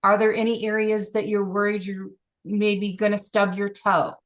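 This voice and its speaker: A woman's voice: mid-range voice, level tone, moderate pace. A woman coach leading a group call, speaking in an encouraging, emphatic way.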